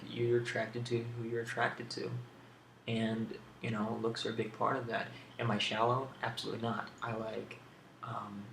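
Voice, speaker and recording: A man hosting a casual ASMR question-and-answer video, speaking in a halting, rambling, hesitant way on an amateur home recording. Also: fairly low pitch, vocal fry, roomy sound, noisy background. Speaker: video host.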